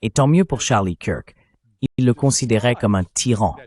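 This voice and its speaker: A man's voice: medium pitch, expressive, normal speed, a little mellow. A man reading a translated voice-over of a political discussion in a blunt, opinionated way.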